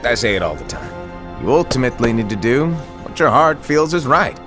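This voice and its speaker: An actor playing a playful, teasing and cryptic mentor in a theatrical, breezy way for a video game cutscene. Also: male voice, mid-range voice, lively intonation, fairly quick, natural voice. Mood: earnest, cryptic. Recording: music behind the voice.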